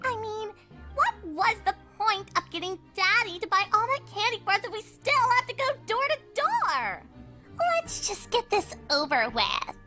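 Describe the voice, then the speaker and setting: A spoiled little cartoon filly, very high and sharp, with sulky swoops. She is petulant and sarcastic, over faint music. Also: sing-song, normal speed, heavily acted.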